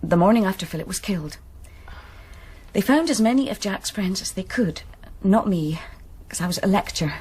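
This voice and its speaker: An actress playing a troubled, reluctant young student in a radio drama, confiding hesitantly in a husky English voice. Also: female voice, mid-range voice, animated, moderate pace, darkish tone. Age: young adult.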